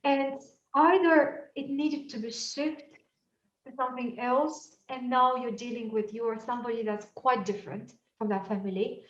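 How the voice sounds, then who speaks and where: A woman's voice: a little high, steady tone, normal speed. Woman, Bulgarian accent, astrology teacher, thoughtful lecturing, frequent fillers, echoey room, somewhat muffled. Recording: echoey room, mic at a distance, dull sound.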